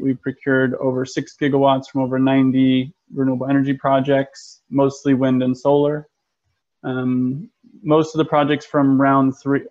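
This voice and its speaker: An American man, an energy consultant, giving a webinar presentation in a measured way with frequent ums and restarts. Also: mid-range voice, a little mellow. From United States. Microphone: video call. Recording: muffled lo-fi web call audio.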